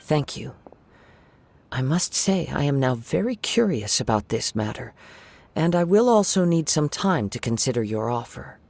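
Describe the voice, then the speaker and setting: An actor playing a calm, courteous young samurai swordsman in a video game, speaking in a measured, polite, formal way. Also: mid-range voice, creaky. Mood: composed, courteous.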